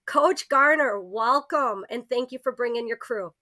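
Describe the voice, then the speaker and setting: A woman's voice: fairly high pitch, measured pace, sharp tone, a little hiss or hum. A woman hosting a podcast, welcoming and questioning her guests in a casual way. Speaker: podcast host.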